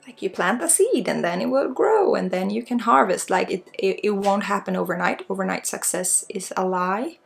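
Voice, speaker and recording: A woman from Sweden with a Swedish accent guides a spiritual healing ASMR session in a soft, breathy, informal and halting way, often dropping toward a whisper. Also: mid-range voice, expressive. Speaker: spiritual guide, energy healer. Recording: soft music under the voice. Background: soft music.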